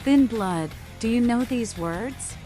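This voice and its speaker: A woman's voice: a little high, expressive, takes it slowly, dark tone, soft background music. A woman narrating gameplay and speaking cryptic lines for her player character in a theatrical manner. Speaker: gaming creator voicing her player character.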